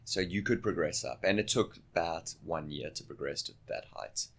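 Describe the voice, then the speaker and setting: Gravelly low-voiced South African man. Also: normal speed. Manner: relaxed, laughs easily, fills with "yeah". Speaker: podcast guest, circus acrobat. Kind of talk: casual interview chat.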